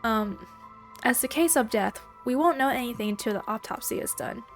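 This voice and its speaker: A woman's voice: fairly high pitch, animated, normal speed. Stuffy-nosed woman gamer, faint music. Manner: reads lines and then comments playfully. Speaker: let's play gamer. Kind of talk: reading game dialogue aloud with reactions.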